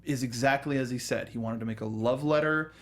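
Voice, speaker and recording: A man's voice: mid-range voice, brisk. A man co-hosting a casual talk show, chatting in stop-start bursts with repeated words and slipping into mimicked quotes as he tells things. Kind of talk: casual film and video game discussion.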